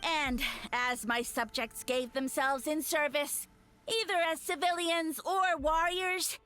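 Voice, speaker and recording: A woman's voice: sits high, fairly even intonation, slow pace, bright, forceful tone, faint music behind, full character voice. A woman playing a boastful, evasive male mushroom creature in a video game, with a pompous, theatrical delivery. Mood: grandiose. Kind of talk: video game character acting.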